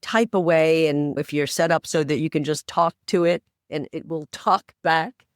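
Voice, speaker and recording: A woman's voice: sits low, normal speed, dry recording, studio quality. Emphatic woman in her sixties. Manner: emphatic, persuasive, urging listeners on. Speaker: podcast guest, inclusive AI advocate. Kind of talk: conversational interview.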